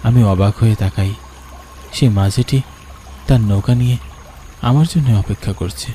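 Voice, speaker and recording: Dark, mellow male horror narrator. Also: lowish voice, moderate pace, a little hiss or hum. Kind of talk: scripted first-person horror story narration.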